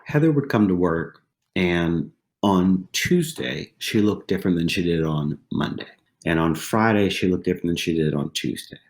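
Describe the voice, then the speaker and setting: A man in his forties with a very low voice, speaking as a podcast guest. He tells his stories casually, circling back to repeat phrases and checking in with "right?". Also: expressive, moderate pace. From southwestern Pennsylvania, USA. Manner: conversational, repeats phrases, tags lines with "right?". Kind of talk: casual interview storytelling.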